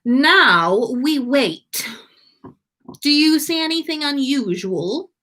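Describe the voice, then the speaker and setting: Woman acting a haughty boy wizard, high-pitched. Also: lively intonation, takes it slowly, sharp tone, heavily acted. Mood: haughty, dismissive.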